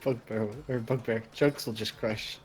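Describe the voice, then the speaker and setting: A man's voice: mid-range voice, steady tone, unhurried. A man chatting casually while playing a tabletop role-playing game.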